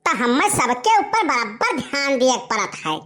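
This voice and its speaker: Woman acting a high-pitched sassy Awadhi village girl. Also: sing-song, unhurried, a bit bright, full character voice. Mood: scolding, dismissive. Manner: scolding, brazen, repetitive catchphrases. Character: sassy, impatient village young woman juggling several suitors. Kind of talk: animated comedy dialogue.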